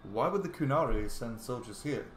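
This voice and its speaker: A man's voice: mid-range voice, lively intonation, moderate pace, natural voice. A man voicing a polite, slightly flirty, inquisitive male adventurer in a fantasy game roleplay. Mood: curious. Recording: echoey room with faint noise.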